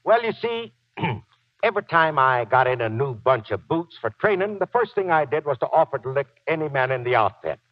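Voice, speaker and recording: Man acting a folksy, boastful old Marine, swooping pitch. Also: big pitch swings, fairly quick, no room sound, lightly acted. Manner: folksy, slangy, ungrammatical talk. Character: gruff, boastful old Marine sergeant, henpecked, soft-hearted husband. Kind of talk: 1940s radio acting. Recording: dull, lo-fi 1940s radio recording.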